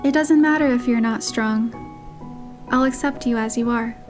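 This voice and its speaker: A woman's voice: on the high side, normal speed, natural voice. A woman voicing a playful, tender young heroine who teases her beloved in romance game dialogue, with guitar music behind. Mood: earnest, tender. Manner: teasing, affectionate.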